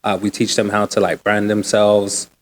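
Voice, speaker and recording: A man talks easily as an interview guest. His voice sits low, and he is relaxed and slangy, with plenty of ums and likes. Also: normal speed. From Uganda, raised in the UK. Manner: relaxed, slangy, many fillers. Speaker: guest, nonprofit co-founder. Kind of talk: casual interview talk.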